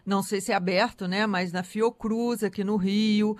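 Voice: mid-range voice, steady tone, normal speed, no room sound, clean studio sound. An interviewer from the Zona da Mata in Minas Gerais, talking in a chatty, folksy way that often trails off and restarts mid-phrase. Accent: Minas Gerais Portuguese. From São João Nepomuceno, Minas Gerais, Brazil. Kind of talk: conversational interview.

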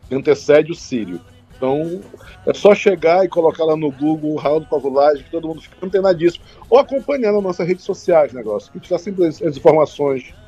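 A man chatting as an interview guest, husky and halting, often repeating words and adding tag questions. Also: on the high side, mellow. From Bragança, Pará, northern Brazil. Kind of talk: casual interview answers. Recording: dull lo-fi line, music behind.

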